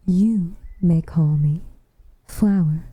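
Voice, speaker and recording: A woman playing a reserved, tenderly affectionate witch in a whispered ASMR roleplay, with soft breathy delivery and measured, formal phrasing. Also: fairly low pitch, no room sound. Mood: gentle, tentative.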